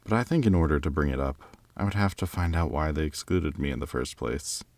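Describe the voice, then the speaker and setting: A man's voice: very deep voice, fairly quick, darkish tone, no room sound. A man playing a polite, reflective and charming diplomat candidate in an audio drama, speaking in a courteous, thoughtful way. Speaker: job candidate. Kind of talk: audio drama acting, conversational interview answers.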